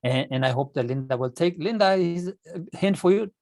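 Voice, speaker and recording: A man from Kenya, a native Swahili speaker, addressing club members informally in a halting, repetitive, emphatic manner. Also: fairly high pitch, animated, a little mellow, no echo, clean studio sound. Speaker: club leader addressing members at an online meeting. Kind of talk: informal motivational meeting talk.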